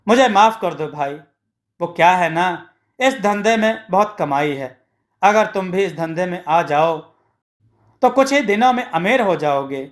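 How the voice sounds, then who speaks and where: A wheedling beggar from a storybook tale, performed by a man, with a high voice. He is a small-time swindler, ingratiating and keen to persuade. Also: animated, measured pace. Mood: pleading, persuasive.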